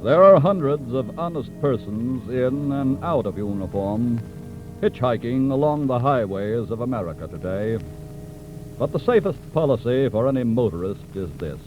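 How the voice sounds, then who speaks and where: An actor narrating a 1940s radio crime drama in a grave, measured, authoritative way. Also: male voice, medium pitch, lightly acted, poor audio. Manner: solemn, measured. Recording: vintage radio broadcast, music underneath.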